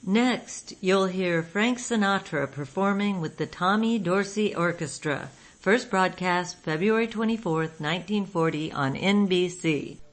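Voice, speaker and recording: A woman's voice: fairly low pitch, measured pace. A woman hosting a radio show, reading scripted narration.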